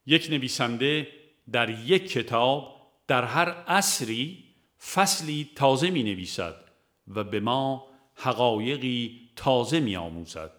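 A man's voice: mid-range voice, lively intonation, fairly bright tone. Man reading a book formally. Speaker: audiobook narrator. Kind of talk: scripted narration of a religious book.

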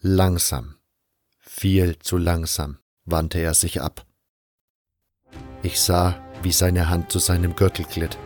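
A man's voice: sits low, flat delivery, takes it slowly, pauses often, a little mellow, faint music behind. A man reading scripted first-person narration for an audio drama.

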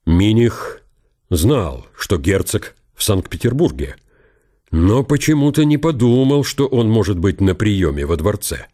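A man's voice: on the low side, big pitch swings, unhurried, mellow. A man narrating a historical radio play in a dramatic literary style.

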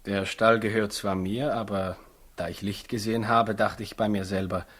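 An actor in a 1960s German radio play, playing a good-natured, modest stable tenant with a husky, matter-of-fact delivery. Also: male voice, lowish voice, steady tone, normal speed, fairly bright tone. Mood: sheepish, friendly.

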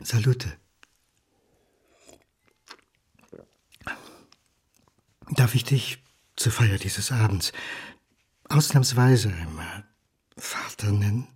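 An actor playing a lonely, bitter, self-mocking drinker, brooding and sardonic, in an intimate radio drama monologue. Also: male voice, on the low side, slow, long pauses, a little mellow, breathy edge, exaggerated voice. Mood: mock-solemn.

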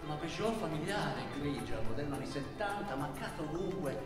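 A male stage actor narrates a travel tale in a theatrical way, phrase by phrase. His delivery is animated, and the sound is distant, with long hall reverb. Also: fairly high pitch, brisk, loud music behind the voice. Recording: very echoey hall, far from mic.

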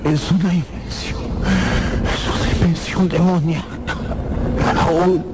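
A man's voice: fairly high pitch, little pitch movement, measured pace, lightly acted. A man playing an urgent companion who strains to stay rational in a radio drama. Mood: insistent. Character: urgent, reasoning Persian man keeping his sanity. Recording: very noisy radio drama effects.

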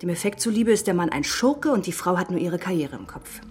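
A low-voiced actress as a very young woman in a radio drama, with faint music underneath. She is soft and deliberate, a studied, tender provocateur with bitterness underneath. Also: lively intonation, natural voice. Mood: tender, bitter. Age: young adult.

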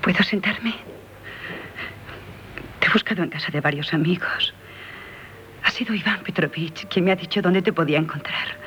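Actress as devoted, insistent young lover. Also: female voice, on the low side, moderate pace, long pauses, a bit bright, lo-fi sound, lightly acted. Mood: pleading. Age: young adult. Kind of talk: 1970s radio drama acting. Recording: noisy 1970s radio broadcast recording.

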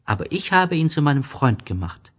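A man's voice: on the high side, sing-song, brisk, husky, voice pushed up. A man voicing an innocent, curious young boy prince in a storybook, speaking earnestly and with emphasis. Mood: firm, proud.

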